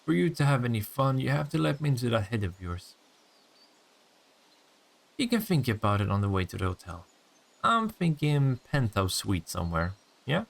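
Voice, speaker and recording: A man's voice: medium pitch, sing-song, creaky. Man playing a smug, teasing vampire, swooping intonation. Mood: smug, flirtatious. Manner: sardonic, teasing, swearing, drawn-out taunts. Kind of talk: intimate audio roleplay acting.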